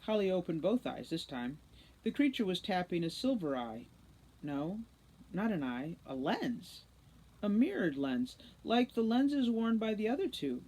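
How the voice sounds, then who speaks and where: A woman reading a children's novel aloud as an expressive narrator. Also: on the low side, a little slow, low-quality recording. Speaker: read-aloud storybook narrator, teacher.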